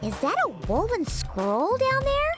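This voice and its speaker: A woman voicing a small, childlike, outspoken fairy companion in an animated game. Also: very high voice, measured pace, full character voice. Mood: bemused. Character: small, excitable floating fairy girl companion. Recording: loud game music behind.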